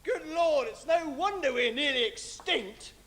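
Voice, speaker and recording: A man's voice: extremely high pitch, lively intonation, measured pace, fairly bright tone, full character voice. A man playing an exasperated, blustering tribesman in an amateur comic stage pantomime, flustered and exclamatory. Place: theatre stage. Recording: echoey stage recording, background hiss.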